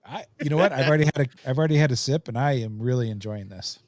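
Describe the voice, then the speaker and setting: A man's voice: medium pitch, racing, no echo, clean studio sound. A man hosting a podcast with casual, conversational banter. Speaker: podcast host.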